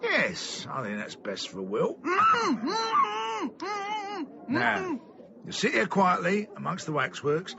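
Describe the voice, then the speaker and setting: A man's voice: a little high, wildly swooping pitch, a little slow, gravelly, sharp tone, muffled recording, slight background noise, full character voice. A man playing a menacing, silky carnival master in a radio drama, theatrical and taunting, savouring each word. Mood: taunting, threatening.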